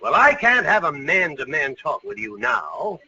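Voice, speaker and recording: An actor playing a blustery, fussy older uncle in a 1940s radio comedy. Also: male voice, a little high, very animated, a little slow, sharp tone, far from the mic, exaggerated voice. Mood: advising. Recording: muffled, noisy old radio recording.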